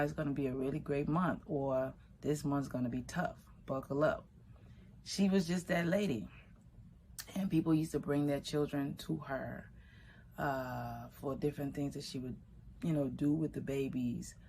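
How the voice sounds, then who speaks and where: A middle-aged woman from Liberia recounts family memories in an unhurried, conversational way. Her voice sits low, and her pace speeds up and slows down as she talks. A faint ambient hum lies under the recording. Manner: relaxed, conversational, shifting pace, Liberian English phrasing. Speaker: vegan food business owner. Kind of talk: personal storytelling.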